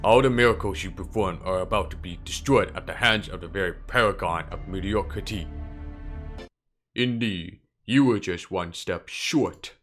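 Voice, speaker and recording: A man playing a calm, sinister priest villain in a fan dub, speaking in a measured, formal, smoothly condescending way. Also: low voice, natural voice. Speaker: amateur voice impressionist. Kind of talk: dramatic fan dub character acting. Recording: background music under the voice.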